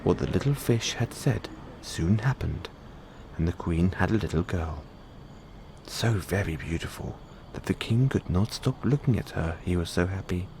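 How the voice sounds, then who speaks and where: Deep-voiced man telling a bedtime story. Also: expressive, normal speed, a little mellow, slight background noise. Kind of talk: expressive bedtime fairy-tale narration.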